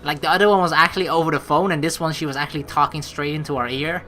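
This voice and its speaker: A woman's voice: sits low, fairly even intonation, racing, a bit bright, a little hiss or hum. A woman hosting a casual let's play, playfully reading game narration and rambling through her own commentary. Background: game background music.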